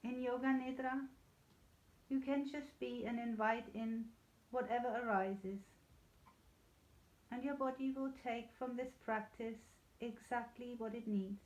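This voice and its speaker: Mellow woman guiding relaxation, roomy sound. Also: a little high, steady tone. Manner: soothing, unhurried, gently reassuring. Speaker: yoga nidra guide. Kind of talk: guided relaxation meditation. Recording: slightly dull, echoey room sound.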